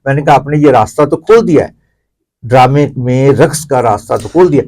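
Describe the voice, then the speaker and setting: Man, middle-aged or older, Pakistani accent, medium pitch, discursive interview guest. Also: darkish tone. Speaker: interview guest, theatre commentator. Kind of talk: reflective explanatory interview talk.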